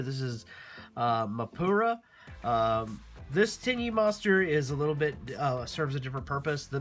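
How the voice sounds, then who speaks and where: A man's voice: medium pitch, a bit bright. A man hosting a card game channel, talking casually and upbeat to viewers while showing a deck. He sounds nasal from allergies and chats with lots of filler and restarts.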